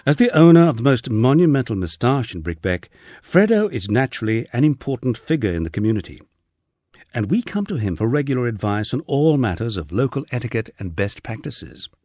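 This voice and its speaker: A man's voice: mid-range voice, big pitch swings, fairly quick, a little mellow, no echo. A British man narrating a humorous memoir in a wry, dry storytelling manner. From United Kingdom. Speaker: audiobook narrator.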